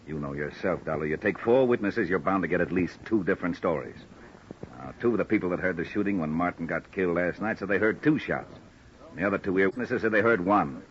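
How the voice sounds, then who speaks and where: An actor plays a weary American police sergeant with a low voice and folksy, matter-of-fact talk. It is vintage radio drama on a dull recording with faint noise. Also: male voice, brisk, close to an everyday voice. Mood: puzzled, businesslike. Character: plain-spoken, weary male police sergeant. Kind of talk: old-time radio acting.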